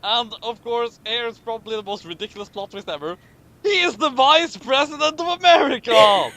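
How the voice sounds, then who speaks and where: Animated high-pitched man reading comically. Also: normal speed. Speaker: commentary show host reading a fan fiction aloud. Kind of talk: comic dramatic reading with casual commentary.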